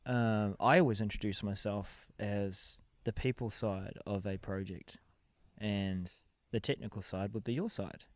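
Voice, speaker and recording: A man with a New Zealand accent, a guest on a podcast, answering in a casual, agreeable way with quick short replies. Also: lowish voice, fairly even intonation, no room sound. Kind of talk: casual chat.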